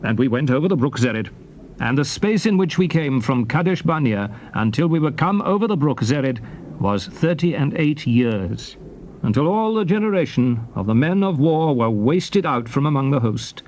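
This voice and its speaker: A man's voice: fairly high pitch, darkish tone, slight background noise. An actor playing a solemn biblical leader recounting and exhorting his people, declaiming archaic scripture theatrically. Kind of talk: dramatized scripture reading, theatrical oratory.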